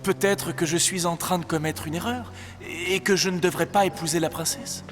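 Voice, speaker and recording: A man's voice: high-pitched, fairly even intonation, moderate pace, soft background music, close to an everyday voice. A man voicing a dreamy, hesitant young royal bridegroom in a French cartoon. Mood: doubtful.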